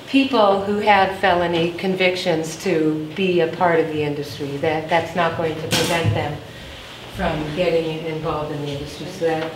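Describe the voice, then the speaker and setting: Low-voiced woman speaking from the audience. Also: far from the mic. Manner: informed, measured. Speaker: audience member, activist. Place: event room, indoors. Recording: echoey room, off-mic, background noise.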